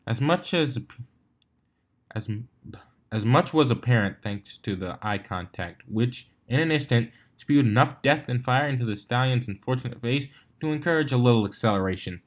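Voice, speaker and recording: Male narrator, mid-range pitch, story reading, fan fiction audiobook style. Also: animated, moderate pace.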